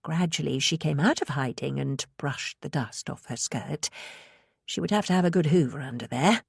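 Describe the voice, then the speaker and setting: A woman's voice: low-pitched, moderate pace, vocal fry, breathy edge, no room sound, clean studio sound. A woman reading scripted suspense fiction as an audiobook narrator.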